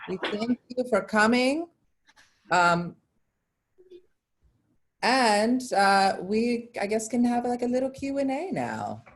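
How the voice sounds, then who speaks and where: A woman's voice: on the high side, sing-song, slow, long pauses, slight background noise. A woman narrating a staged reading and moderating its informal question session. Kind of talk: reading stage directions, then informal audience Q&A.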